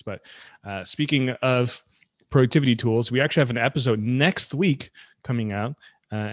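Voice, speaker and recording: A man's voice: medium pitch, no room sound. Dutch-raised man co-hosting a podcast. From Netherlands. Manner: conversational, loose, with many fillers. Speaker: podcast co-host. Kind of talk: casual podcast chat.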